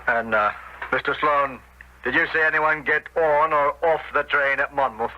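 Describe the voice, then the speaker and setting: An actor playing a formal, courteous coroner in a radio drama, putting questions in an official, probing manner. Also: male voice, a little high, moderate pace, slight background noise, lightly acted. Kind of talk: 1970s radio drama acting. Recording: dull lo-fi old radio recording.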